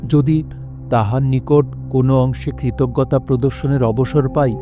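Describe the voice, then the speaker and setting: An actor playing a courteous, grateful nobleman who recounts his past in formal, measured words in an audio drama. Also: medium pitch, steady tone, lightly acted. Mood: grateful, earnest. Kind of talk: audio drama first-person storytelling, formal literary diction. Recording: music behind the voice.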